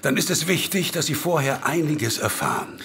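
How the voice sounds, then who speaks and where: A man's voice: fairly high pitch, sing-song, normal speed. An actor playing a hard-boiled, cynical male American investigator, knowing and wary, in a German audio drama. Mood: cynical, cautioning. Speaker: supporting actor. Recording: faint music behind the voice.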